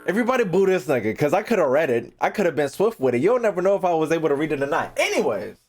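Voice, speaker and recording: Male streamer, American, higher-than-average voice with very lively swings in pitch; brash, sweary, repetitive banter with chat. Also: very fast. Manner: repeats phrases for emphasis. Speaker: streamer hosting a reaction video. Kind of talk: casual livestream chat to viewers.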